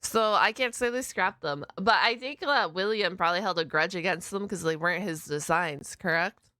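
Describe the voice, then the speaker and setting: A woman hosting a reaction video, commenting casually in an excitable, rambling, slangy way. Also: on the low side, normal speed, dry recording.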